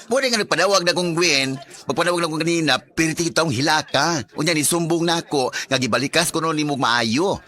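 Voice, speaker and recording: An actor with a Cebuano Filipino accent playing a blunt, chiding friend in a radio drama. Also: male voice, on the high side, fast, pushed and bright. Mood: scolding.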